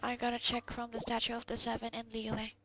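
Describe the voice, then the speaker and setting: Creaky-voiced woman gamer. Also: on the high side, monotone, fairly quick. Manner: musing to herself. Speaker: gamer recording gameplay. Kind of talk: casual gameplay commentary, reading choices aloud. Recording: game music behind voice.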